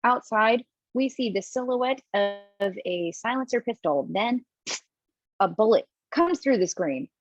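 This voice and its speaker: A woman narrating stage directions at a table read from home, lively and expressive, with small slips. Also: a little high, a little slow, no room sound, faint music behind. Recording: dull lo-fi, dry.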